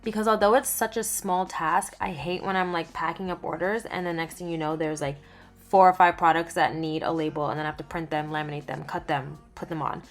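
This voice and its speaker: A young woman with a lowish voice chats candidly in a vlog voiceover. Her talk is lively, jumping up in pitch and volume on asides, with soft background music playing faintly under it. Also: brisk. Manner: chatty, candid, lively swings in pitch and loudness. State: just over laryngitis. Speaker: small skincare business owner.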